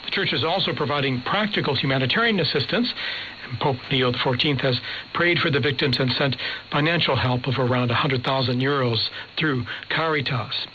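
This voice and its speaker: A man's voice: mid-range voice, a little fast, bright, forceful tone. A man reading a scripted radio news report as a reporter. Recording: dull shortwave radio with faint static.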